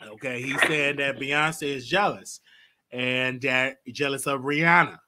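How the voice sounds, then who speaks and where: Host, late 20s male, bright and lively, chatty and quick to laugh. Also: mid-range voice. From Texas. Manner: chatty, animated, laughs often. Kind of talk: casual live call-in show.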